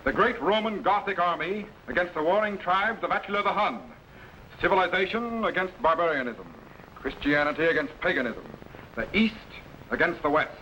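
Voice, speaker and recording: An actor playing a commanding, knowledgeable scientist captain in a 1950s sci-fi serial, giving crisp orders. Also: male voice, high-pitched, normal speed, bright, forceful tone. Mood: explaining. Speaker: time machine captain. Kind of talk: 1950s radio-style sci-fi acting. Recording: dull lo-fi old broadcast with faint hiss.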